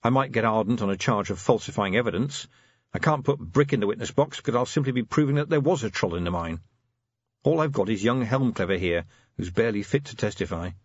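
A man voicing a blunt, harried male watch commander who is quick to bellow. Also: fairly low pitch, lively intonation, no echo, muffled recording. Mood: brusque.

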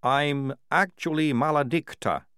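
A man voicing a young female vampire soldier who passes as male, with a brisk, wry, chatty manner, in an audiobook story. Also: fairly high pitch, fairly bright tone, smooth, no room sound, clean studio sound, pitched above the performer's own voice. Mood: confiding.

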